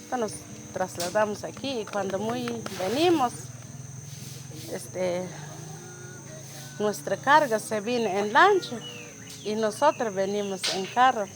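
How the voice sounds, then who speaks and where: Slow, halting woman from Huehuetenango. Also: mid-range voice, background noise. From Huehuetenango, Guatemala. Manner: halting, hesitant, many restarts. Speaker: community resident sharing testimony. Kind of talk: testimony interview.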